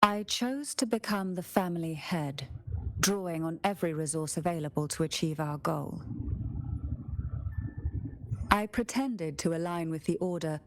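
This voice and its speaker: An actress playing a solemn, refined noblewoman in a video game, speaking in a measured, formal, stately way. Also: fairly low pitch, a bit bright. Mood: grave, reflective. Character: solemn, refined noblewoman, head of a castle family. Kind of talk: dramatic video game dialogue. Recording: game audio with faint music behind.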